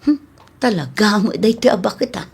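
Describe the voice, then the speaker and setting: A woman with an Ilocano accent playing a stingy, nagging young wife with a husky voice in an audio comedy drama. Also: medium pitch, lively intonation, moderate pace, full character voice. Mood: irritated. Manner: complaining, suspicious.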